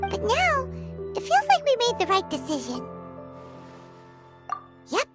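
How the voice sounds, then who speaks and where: Tiny female sprite, chirpy, squeaky, very high, bouncy, game music. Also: wildly swooping pitch, unhurried, heavily acted. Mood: worried. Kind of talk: video game voice acting.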